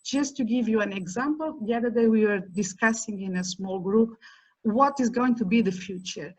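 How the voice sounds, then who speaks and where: A middle-aged woman academic, whose first language is neither English nor Portuguese, speaking at a roundtable in a measured, emphatic way with repeated words and restarts. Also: on the high side, poor audio. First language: a language other than English or Portuguese. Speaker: academic panelist. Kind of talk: roundtable talk.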